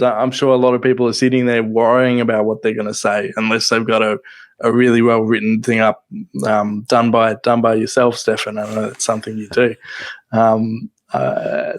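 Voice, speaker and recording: Man, soft-spoken guest, stop-start delivery, close-miked. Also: medium pitch. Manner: soft-spoken, halting with many restarts. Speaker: podcasting guest. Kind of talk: casual interview chat. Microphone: close microphone.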